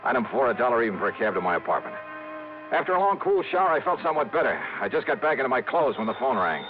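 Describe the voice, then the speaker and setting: An actor playing a hardboiled, curt investigator, clipped and dry, in 1950s radio detective narration and dialogue. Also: male voice, mid-range voice, very animated, very fast, music in the background, lightly acted. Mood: dry, narrating. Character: hardboiled, curt male freelance insurance investigator narrating his case. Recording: dull, noisy old radio recording.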